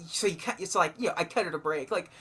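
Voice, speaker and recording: Halting, lower-pitched woman chatting casually. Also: a bit bright, husky. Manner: halting, repeats and restarts phrases. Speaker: podcast co-host. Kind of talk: casual chat about films.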